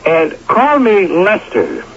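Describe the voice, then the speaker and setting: A man's voice: high voice, wildly swooping pitch, takes it slowly, heavily acted. An actor playing a wry, easygoing middle-aged former golden boy in a radio drama. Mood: wry, relaxed. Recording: dull, noisy old radio broadcast.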